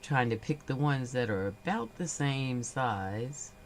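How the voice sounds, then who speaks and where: A woman with a slightly nasal, stuffed-up voice from a cold, chatting slowly and unhurriedly as she crafts on a livestream. She narrates each small step while she works, with a faint hum of noise in the background. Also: extremely low pitch, animated. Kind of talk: relaxed crafting livestream chat.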